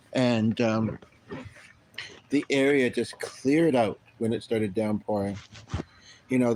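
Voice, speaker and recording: A middle-aged man from Canada talks to his livestream viewers in a loose, wandering way. He trails off, starts sentences over and leans on plenty of ums. His voice sits at an ordinary middle pitch for a man. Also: a little mellow, low-quality recording. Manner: rambling, halting, many ums and restarts. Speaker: livestream host. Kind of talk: casual livestream chat.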